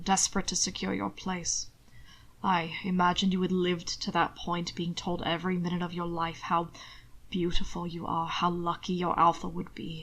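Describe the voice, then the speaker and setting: Brief: woman reading a solemn devoted man, hushed and measured, low soft voice. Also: creaky, rough recording. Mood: tender, remorseful. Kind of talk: audiobook reading.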